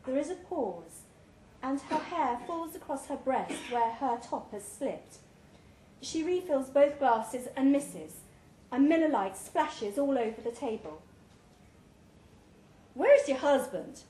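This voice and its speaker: A woman's voice: on the high side, warm tone. A woman reading a short story aloud to a live event, expressively, giving life to the dialogue. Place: indoors, pub event room. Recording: roomy live pub recording, background noise.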